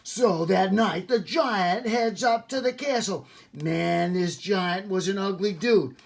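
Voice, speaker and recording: Older man playing a cocky, high-voiced storybook boy. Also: takes it slowly, gravelly, exaggerated voice. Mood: cocky storytelling. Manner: slangy, blunt and exasperated. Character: cocky, impatient boy telling a macho tale. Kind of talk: animated storybook read-aloud.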